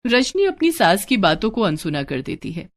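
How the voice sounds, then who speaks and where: A woman's voice: mid-range voice, huge pitch swings, normal speed, no echo. A woman narrating a scripted moral story as a storyteller.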